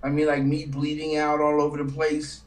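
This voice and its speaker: Man from New Mexico, higher register, slangy and animated, roomy distant video-call sound. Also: normal speed. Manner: slangy, animated, swears freely. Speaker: guest actor. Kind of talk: casual video call interview. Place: home studio room.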